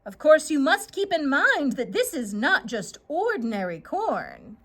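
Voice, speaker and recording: Woman voicing a gushing, smooth-talking little swindler. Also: high-pitched, wildly swooping pitch, a little slow, fairly bright tone, exaggerated voice. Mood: haggling. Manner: gushing, wheedling salesman patter. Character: short round grinning stranger, smooth-talking swindling trader.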